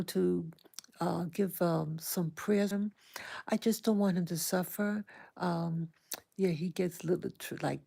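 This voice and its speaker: A soft-spoken woman hosting an early morning livestream, talking hesitantly with many pauses and fillers and greeting her viewers. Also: fairly low pitch, vocal fry. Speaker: livestream host. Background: small dog occasionally heard.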